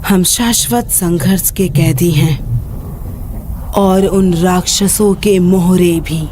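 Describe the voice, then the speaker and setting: Low, slow, commanding demon mother. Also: dark tone, slight background noise. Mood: solemn, resolute. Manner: solemn, deliberate, imperious. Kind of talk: dubbed dark fantasy game cinematic acting. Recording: music under the voice.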